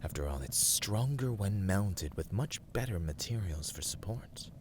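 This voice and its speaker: Male actor as teasing young villain for ASMR roleplay: low, unhurried, formal and condescending, slight background noise. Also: animated. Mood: teasing, menacing. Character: smug, teasing young male villain, dungeon-keeping warlord. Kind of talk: ASMR roleplay, scripted dramatic monologue.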